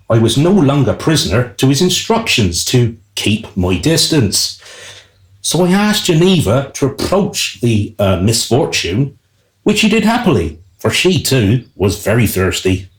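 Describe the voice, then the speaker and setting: Narrator, wry male servant, played by an actor. Radio play storytelling, arch, mid-pitched, swooping delivery. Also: very animated, unhurried, roomy sound. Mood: matter-of-fact, smug. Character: wry, self-serving male servant narrating his tale.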